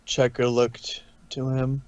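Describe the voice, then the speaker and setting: A man's voice: mid-range voice, monotone, takes it slowly, darkish tone, no room sound. A man reading a story aloud as narrator, now and then stumbling and correcting himself. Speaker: narrator of a fan fiction reading.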